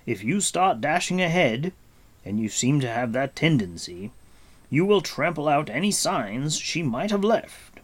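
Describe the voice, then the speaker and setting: A man voicing a wise, commanding warrior in a storybook, grave and patient, speaking formally and with deliberate emphasis. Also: fairly high pitch, very animated, voice pushed up.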